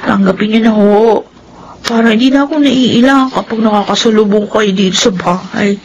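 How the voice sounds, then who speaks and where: A woman's voice: medium pitch, normal speed, noisy background, close to an everyday voice. Emotional young Filipino daughter, played by a woman. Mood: sheepish, pleading. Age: young adult. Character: troubled, guilty young adult daughter, defensive. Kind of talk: Filipino radio drama acting.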